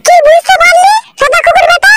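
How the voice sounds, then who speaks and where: A woman voicing a loud, foul-mouthed, scolding cartoon mother-in-law who shouts threats and abuse. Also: extremely high pitch, steady tone, a little fast, over loud music. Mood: angry scolding. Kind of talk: crude comedy cartoon dubbing.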